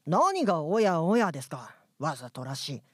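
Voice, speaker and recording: Prim flustered little worker ant in a radio play, raspy and very lively. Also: male voice, fairly high pitch, moderate pace, no echo, studio quality. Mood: huffy, prim. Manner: indignant, polite, dutiful. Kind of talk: live radio drama acting. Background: music.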